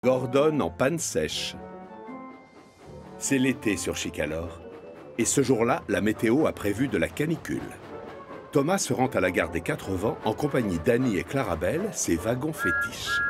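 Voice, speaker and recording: Male narrator, children's storytelling, expressive, animated mid-pitched voice, music behind. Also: moderate pace. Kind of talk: scripted storytelling for a children's cartoon.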